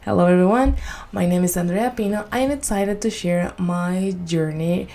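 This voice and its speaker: A woman from Venezuela with a Spanish accent gives a prepared motivational presentation in earnest, deliberate non-native English. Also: fairly low pitch, mellow. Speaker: student giving a personal speech.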